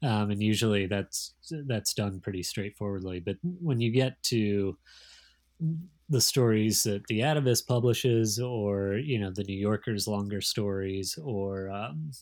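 Thoughtful man with a lowish voice. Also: a little mellow. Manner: thoughtful, halting, explanatory. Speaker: magazine editor, interview guest. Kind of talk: casual interview talk.

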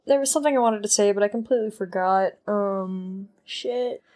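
A woman's voice: medium pitch, normal speed, a little mellow. A young woman co-hosting a casual podcast, talking candidly and rambling, swearing freely and often trailing off to restart her sentences. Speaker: podcast co-host.

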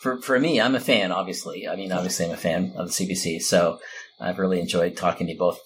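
A man's voice: sits low, steady tone, quick pace, slight background noise. A Canadian man hosting a casual chat, enthusiastic and rambling as he talks. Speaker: podcast host.

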